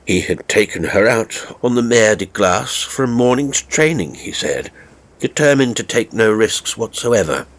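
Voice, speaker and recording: A man's voice: fairly low pitch, expressive, measured pace, a bit bright, poor audio. A man reading a story as a dramatic narrator, with a theatrical, wry raconteur's delivery.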